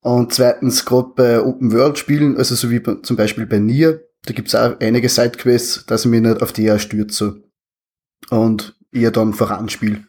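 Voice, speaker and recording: A man with an Austrian accent answers interview questions in a relaxed, chatty manner. His voice has a slightly husky edge, and he laughs easily between sentences. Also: mid-range voice, moderate pace. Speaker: guest, hobby game reviewer. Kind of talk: casual interview.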